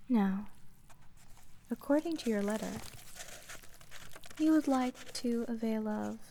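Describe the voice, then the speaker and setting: Aloof sea witch shopkeeper, played by a woman: fairly high, mellow voice, formal monotone delivery for fantasy ASMR roleplay. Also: slow pace, vocal fry, heavily acted. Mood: composed, businesslike. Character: aloof, polite female sea witch with tentacles, merchant.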